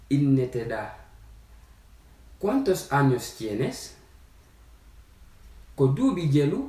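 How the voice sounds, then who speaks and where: Slow-speaking man teaching, echoey room. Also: medium pitch, warm tone. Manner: deliberate, repeats phrases. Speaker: language teacher. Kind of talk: language lesson, phrase by phrase with repetition. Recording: roomy, echoing sound.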